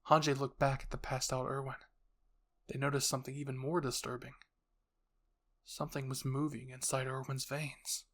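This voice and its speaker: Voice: medium pitch, flat delivery, moderate pace, a bit bright. Audio roleplay narrator, middle-register voice, scripted storytelling. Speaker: narrator and voice performer. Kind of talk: scripted fan-fiction narration.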